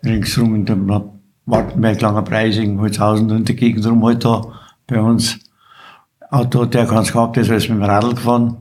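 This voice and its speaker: A man's voice: lowish voice, little pitch movement, a little fast, darkish tone. A man in his eighties with a Bavarian accent, casually reminiscing as a podcast guest. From Bavaria, Germany. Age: 80s. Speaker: podcast guest, local veteran.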